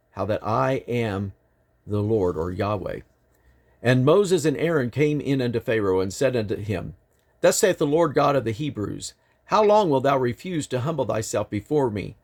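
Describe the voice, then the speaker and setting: A man reading the Bible aloud in deliberate, emphatic phrases, with an occasional stumble. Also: mid-range voice, darkish tone. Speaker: Bible reader.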